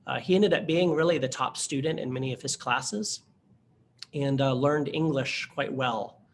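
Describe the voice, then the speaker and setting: A man's voice: mid-range voice, normal speed, a little hiss or hum. A man presenting a webinar to instructors, speaking earnestly and conversationally with frequent fillers and restarts. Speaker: workshop presenter.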